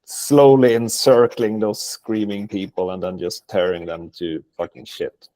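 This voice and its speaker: A man's voice: on the low side, fairly even intonation, unhurried, dark tone, no room sound. A man from a band talking casually in an interview, with lots of filler words and swearing. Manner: filler-heavy, profane. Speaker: band member.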